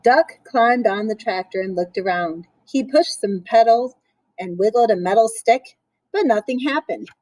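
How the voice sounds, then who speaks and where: A woman reading a picture book aloud for children in a playful, expressive way, with chatty asides. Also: fairly high pitch, normal speed, dry recording, muffled recording.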